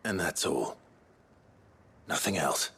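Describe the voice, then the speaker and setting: A man playing a grave, courteous outlaw leader in a video game cutscene, speaking in a measured, understated, polite way. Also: a bass voice, animated, pushed and bright, husky, exaggerated voice. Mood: guarded.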